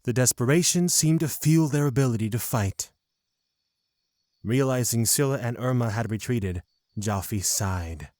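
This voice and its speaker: Male narrator, medium pitch, close dry sound, scripted fantasy storytelling. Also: a little slow, a little mellow, no room sound.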